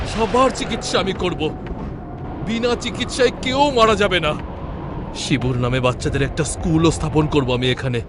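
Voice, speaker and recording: A man's voice: high-pitched, noisy background. An actor playing an earnest, remorseful village man in a horror cartoon, speaking emphatically and dramatically. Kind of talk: dramatic cartoon dialogue and narration.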